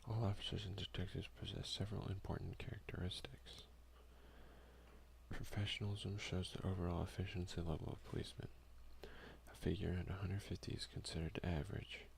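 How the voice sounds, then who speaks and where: A young man doing a soft-spoken ASMR let's play, often slipping into whispers as he comments and reads out game text close to the microphone. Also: low-pitched, level tone, fairly quick, vocal fry, low-quality recording. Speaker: gaming video creator. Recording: faint noise behind a home recording.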